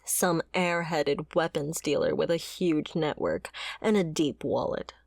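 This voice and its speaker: Girl voice acting a fiery merc lady, bitter ex bodyguard, lowish slow voice, sardonic n sweary, asmr roleplay. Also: creaky, darkish tone, dry recording, studio quality, heavily acted. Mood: sullen, dismissive. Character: fiery, bitter female mercenary, wounded former bodyguard.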